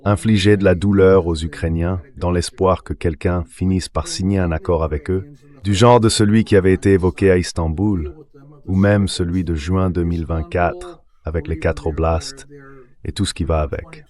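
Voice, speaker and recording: A man's voice: deep voice, fairly even intonation, normal speed, a little mellow. A synthetic male voice giving political analysis as an interview guest, read as a translated dub. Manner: synthetic AI voice-over reading.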